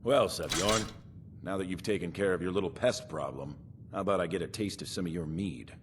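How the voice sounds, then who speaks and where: An actor playing a stern, overbearing guard captain in a fantasy video game, commanding and accusatory in manner. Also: male voice, sits low, fairly quick, noisy background. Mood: hearty, demanding.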